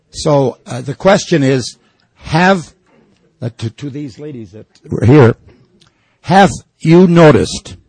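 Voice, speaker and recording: A man from the audience asking a halting, rambling question at a public forum. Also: mid-range voice, vocal fry, a little mellow, husky, no echo. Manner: halting, rambling, with many fillers.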